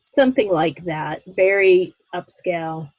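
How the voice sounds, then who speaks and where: Slightly husky woman brainstorming casually. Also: on the low side, expressive, measured pace, darkish tone, dry recording, highs cut off. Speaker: improv audio drama actor. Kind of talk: casual creative discussion.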